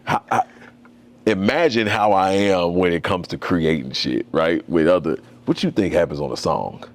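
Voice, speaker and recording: Low gravelly man, loose animated talk. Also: moderate pace. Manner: loose, storytelling, slangy, animated. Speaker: podcast guest, tattoo artist. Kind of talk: casual conversation.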